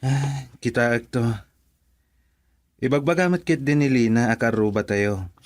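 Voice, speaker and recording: An actor playing an easygoing but somewhat reluctant young husband in a radio drama. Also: male voice, medium pitch, measured pace, no echo, natural voice.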